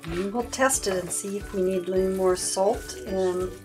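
A woman's voice: mid-range voice, normal speed. A middle-aged woman in a home kitchen, casually explaining step by step how she cooks a dish. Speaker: home cook teaching a recipe. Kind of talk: casual cooking demonstration. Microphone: camera mic. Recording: music playing under voices.

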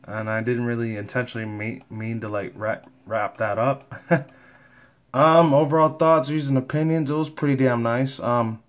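A man's voice: medium pitch, fairly even intonation, normal speed. A man hosting a casual fan vlog, rambling along in slangy commentary. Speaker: YouTube host and fan comic dubber.

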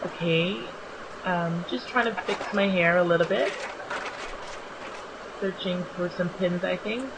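Slightly raspy young woman narrating makeup. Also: fairly low pitch, measured pace, long pauses, background noise. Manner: relaxed, chatty, with many ums. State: just woken up. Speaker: beauty vlogger. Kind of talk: voice-over narration of a makeup tutorial. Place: small home bathroom.

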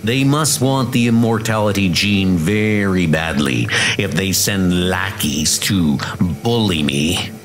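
A man's voice: sits low, slow pace, soft background music, pitched below the performer's own voice. A man voicing a weary, menacing, ageless assassin who speaks tersely and broodingly in a comic dub. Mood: grim, strained.

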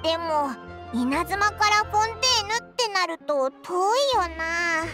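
A woman voicing a tiny, childlike, excitable floating companion in a video game, with blunt, boyish phrasing. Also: extremely high pitch, unhurried. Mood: inquisitive. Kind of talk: video game voice acting in Japanese. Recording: loud music behind the voice. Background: game music.

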